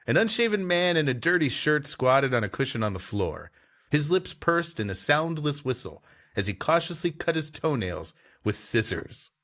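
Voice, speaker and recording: A bright-toned man reads narration and trades jokes on a comedy podcast, his pitch lively and bouncing. He laughs easily between lines. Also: normal speed. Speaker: co-host and story reader. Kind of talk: comedy podcast reading with banter.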